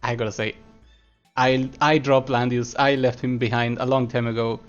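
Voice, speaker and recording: A man's voice: medium pitch, little pitch movement, normal speed, lo-fi sound, soft background music. Chatty man casting an esports match. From Argentina. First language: Spanish. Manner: chatty, laughs often, answers chat between analysis. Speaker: esports caster. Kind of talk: live esports commentary on a stream.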